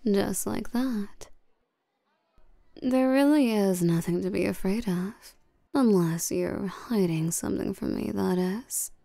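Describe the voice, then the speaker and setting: A woman playing a regal, seductive and domineering vampire queen in a scripted roleplay audio drama, coaxing and commanding the listener with soft menace. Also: mid-range voice, slow pace, vocal fry, no room sound. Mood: commanding, menacing.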